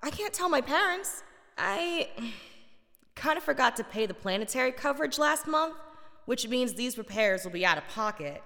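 A woman's voice: high voice, very animated, normal speed, pushed and bright, no echo, clean studio sound, heavily acted. A woman playing a broke, sardonic young schoolteacher in a sitcom audio drama, exasperated in manner.

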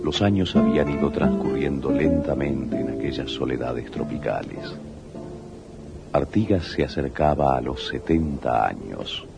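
Male radio-drama narrator: bass, solemn, literary, loud music under him. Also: measured pace, creaky. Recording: muffled old radio recording, loud music.

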